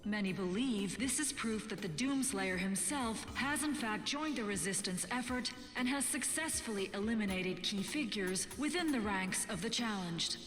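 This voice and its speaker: Actress as female resistance news announcer, video game, formal, earnest, measured delivery, long echoing reverb, music underneath. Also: mid-range voice, lively intonation, fairly bright tone, close to an everyday voice. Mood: grave, formal. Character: resistance radio broadcaster, sober woman delivering hopeful war news. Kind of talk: scripted radio news broadcast. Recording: echoing broadcast with music bed.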